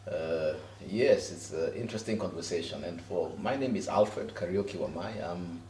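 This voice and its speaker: A man's voice: low-pitched, normal speed, a little mellow, roomy sound, far from the mic, slight background noise. A Kenyan pastor in his fifties, easygoing and chatty, talking about his life in a house interview. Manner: easygoing, laughs often, many fillers. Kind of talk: relaxed interview answers. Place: living room in a house.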